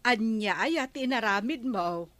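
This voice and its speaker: An actress playing an inquisitive, advising friend in an Ilocano radio comedy drama, speaking with an Ilocano accent. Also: female voice, on the high side, lively intonation, measured pace, fairly bright tone, no room sound. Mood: curious, advising. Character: wife's female confidante, inquisitive and advising.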